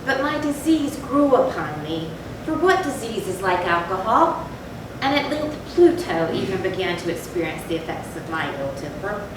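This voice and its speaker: A woman playing a tormented, confessing animal lover turned cruel drunkard, in a deliberate, formal, theatrical reading of a gothic horror story. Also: mid-range voice, very animated, close to an everyday voice. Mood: grim, regretful. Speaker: readers theatre performer. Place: large echoey room, far from the mic. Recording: very echoey, distant, noisy live hall recording.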